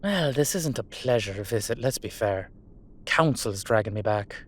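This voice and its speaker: A man's voice: on the low side, animated, normal speed, a little hiss or hum, close to an everyday voice. Man acting a sweary, fond Irish dullahan. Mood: tense, grumbling. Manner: colloquial, sweary, hesitant, conversational. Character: gruff, fond, sweary male Irish dullahan heading home. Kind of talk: one-sided roleplay audio drama.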